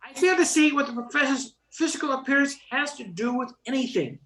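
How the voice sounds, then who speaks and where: A woman's voice: sits high, expressive, a little fast, a bit bright. An older woman playing a brusque, easily flustered young male professor in an amateur radio play, with a stiff, indignant manner. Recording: roomy, echoing sound.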